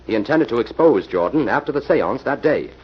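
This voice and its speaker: An actor playing a composed, confident detective, explaining things wryly in 1940s radio style. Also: male voice, mid-range voice, expressive, brisk, breathy edge. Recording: muffled, hissy old-time radio recording.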